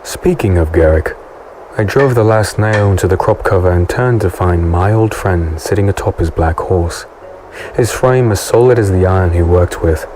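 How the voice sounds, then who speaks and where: A man's voice: low-pitched, moderate pace, a little mellow, a little hiss or hum, natural voice. A man playing an easygoing, teasing swordsman hero in an audio drama, speaking and narrating in a wry, conversational way. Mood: wry, relaxed. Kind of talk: audio drama dialogue and first-person narration. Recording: music bed behind the voice.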